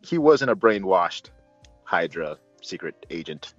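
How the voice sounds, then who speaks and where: A man's voice: low-pitched, big pitch swings, a little slow, a bit bright, no room sound, muffled recording, soft background music. A man hosting a casual movie review, talking in a joking, rambling way.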